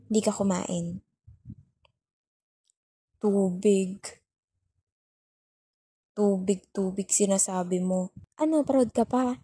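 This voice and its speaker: A woman's voice: mid-range voice, dark tone, slightly rough, no echo. A woman with a Filipino accent playing a bossy but caring, worried young girlfriend who scolds and sulks in an intimate ASMR roleplay. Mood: exasperated scolding. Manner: scolding, sulky, teasing, repeats herself for emphasis.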